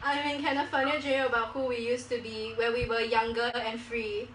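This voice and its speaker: A young woman speaks in a high, very bright voice with a giggly, casual manner, like a vlogger doing prank calls. She is recorded in a small living room on a camera a few steps away. The sound is clearly echoey, with faint noise. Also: flat delivery, brisk. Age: young adult. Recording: roomy, noisy, distant camera microphone.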